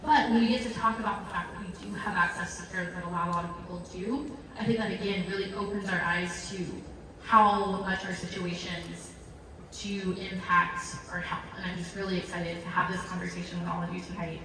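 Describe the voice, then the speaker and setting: A woman introducing a live panel as a nonprofit founder, rambling and excited with many fillers and self-corrections. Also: fairly low pitch, brisk, noisy background. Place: large event hall with audience. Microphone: handheld mic, heard from a distance. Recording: echoey hall recorded from far off.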